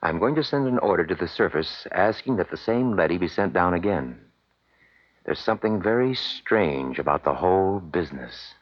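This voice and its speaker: A man's voice: low-pitched, animated, normal speed. Grave, commanding scientist father, muffled old radio. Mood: brisk, firm. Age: middle-aged. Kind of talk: 1950s radio drama acting. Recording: muffled vintage 1950s radio broadcast.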